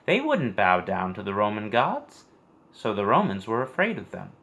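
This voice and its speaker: A man reading a scripted story aloud for children in an expressive storytelling manner. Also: fairly low pitch, normal speed, vocal fry.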